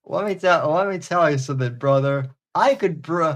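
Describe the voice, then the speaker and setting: A man's voice: fairly high pitch, moderate pace. A man hosting a casual podcast, rambling with hesitant restarts and crude humor. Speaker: podcast host. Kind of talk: casual chat.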